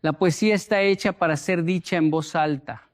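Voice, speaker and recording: A man speaking as a motivational speaker, deliberate and emphatic, repeating phrases for effect as he explains and tells stories. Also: fairly high pitch. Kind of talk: motivational talk with storytelling.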